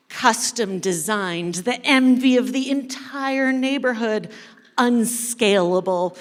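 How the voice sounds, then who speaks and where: Female, higher-pitched, unhurried church storyteller with playful delivery and dramatic pauses, heard in a reverberant hall. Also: a bit bright. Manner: playful, addresses the audience directly, dramatic pauses. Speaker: kids ministry coordinator leading a church service. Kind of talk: interactive storytelling sermon to a congregation. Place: church hall, speaking to a congregation. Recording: echoey hall with long reverb.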